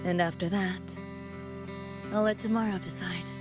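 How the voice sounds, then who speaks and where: A woman's voice: fairly low pitch, steady tone, moderate pace, music in the background, close to an everyday voice. A woman playing a cool, wry young mercenary in a video game, guarded but caring, with clipped, slangy lines. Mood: resolute. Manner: laconic, clipped slangy delivery. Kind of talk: video game story dialogue.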